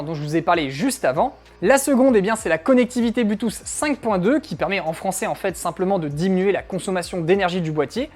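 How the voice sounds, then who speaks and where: A woman's voice: medium pitch, a little mellow. A woman reviewer narrating a scripted product test in an informal, conversational way, with frequent tag words. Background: faint background music.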